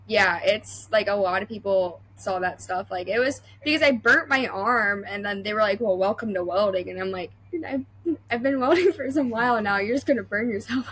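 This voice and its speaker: A woman's voice: mid-range voice, a bit bright, rough recording. A young adult woman welder talking as a podcast guest, chatty and full of fillers and restarts. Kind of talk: casual interview chat.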